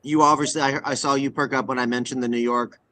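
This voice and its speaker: A man's voice: mid-range voice, steady tone, quick pace, sharp tone. A man from the Pacific Northwest hosting a podcast, asking long, rambling questions with enthusiasm. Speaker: podcast host. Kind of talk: conversational podcast interview.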